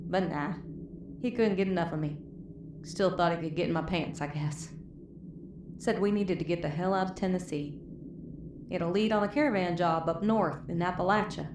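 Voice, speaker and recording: Echoey noisy audio drama, actress doing tough southern us moonshiner gal, weary n bitter, swears a lot, low voice. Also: female voice, normal speed, long pauses, lightly acted. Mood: wry, weary. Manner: folksy, profane, weary storytelling. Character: tough, bitter, foul-mouthed wasteland moonshiner woman, wounded and fading. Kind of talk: dramatic first-person storytelling in audio drama. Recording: roomy echo, clear background noise.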